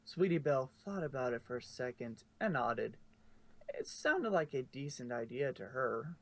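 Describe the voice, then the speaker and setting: Man, storybook narration, medium pitch, fan fiction reader. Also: sing-song, moderate pace. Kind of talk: storybook narration with casual asides.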